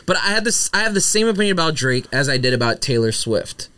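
A man's voice: a little high, sing-song, quick pace, bright, forceful tone, clear, resonant tone. A man co-hosting a podcast, sharing opinions in casual chat with lots of "like" and "I mean". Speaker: podcast co-host.

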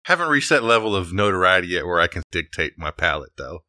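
A man's voice: low-pitched, very animated, quick pace, pushed and bright, dry recording, clean studio sound. A middle-aged man co-hosting a podcast, chatting casually and chiming in agreeably. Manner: agreeable, interjecting reactions. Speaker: podcast co-host, architect.

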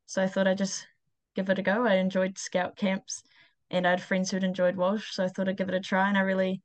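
A woman's voice: medium pitch, monotone, a little fast. A woman with a New Zealand accent, speaking informally and a little hesitantly as a guest in a casual interview. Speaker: interview guest, aircraft technician and pilot.

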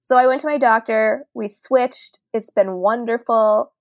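A woman in her late twenties talks through a relaxed podcast conversation, her voice sitting a bit high. She chats casually, laughs often and leans on "like" as a filler. The sound is close and dry, with no room echo. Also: a little slow. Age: late 20s. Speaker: podcast co-host.

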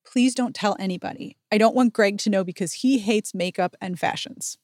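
A woman's voice: medium pitch, moderate pace, darkish tone, no echo, clean studio sound, lightly acted. A woman voicing an earnest, indignant teenage girl from a romance novel. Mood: pleading.

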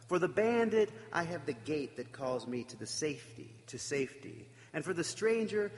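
Brief: male preacher, raised pitch, very lively intonation, echoey church reverb. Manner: reflective, emphatic with dramatic pauses. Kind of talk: sermon.

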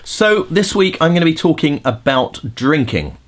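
A man's voice: on the high side, sing-song, moderate pace. A middle-aged man hosting a podcast, giving casual vocal tips in a chatty way with many fillers and restarts. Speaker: podcast host and vocal coach.

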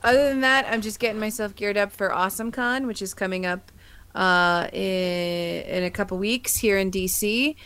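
A woman's voice: medium pitch, normal speed, fairly bright tone, smooth. An American woman co-hosting a podcast in casual chat, speaking in an enthusiastic, gushing way. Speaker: podcast co-host. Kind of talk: casual chat about sci-fi and comics.

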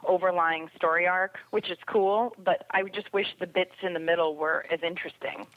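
A woman's voice: fairly low pitch, steady tone, fairly quick. A woman chatting casually as a podcast panelist over a remote call. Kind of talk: casual chat about TV shows. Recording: dull lo-fi remote call audio.

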